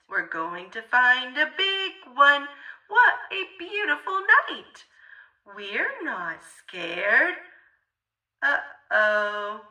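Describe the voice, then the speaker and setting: A woman reads a picture book to small children with big, theatrical expression. Her voice is high and extremely bright, with a rhythmic sing-song lilt. She sounds set back from the microphone. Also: distant mic. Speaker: children's storybook reader. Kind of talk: theatrical read-aloud for young children.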